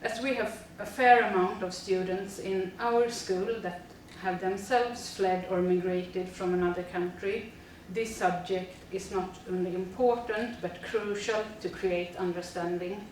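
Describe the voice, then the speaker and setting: A woman's voice: mid-range voice, fairly even intonation, unhurried, hardly any pauses, darkish tone, heavy reverb, far from the mic, a little hiss or hum, low-quality recording. A woman, a teacher reading a prepared presentation steadily with frequent fillers. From Sweden. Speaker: teacher presenting a school project.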